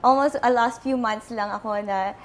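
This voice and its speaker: A woman's voice: on the high side, fairly even intonation, normal speed. A young woman in her twenties from Australia, chatting in a bubbly way as an interview guest, switching between English and Tagalog. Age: 20s. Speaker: pageant contestant guest. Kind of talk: casual interview chat.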